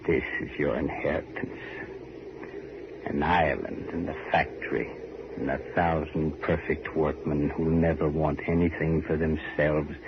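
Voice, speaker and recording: A very deep-voiced, bitter old boatman in a 1940s radio horror drama. He talks in terse, rustic phrases with taunting menace. The old recording is dull and heavily noisy. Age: older. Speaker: radio actor.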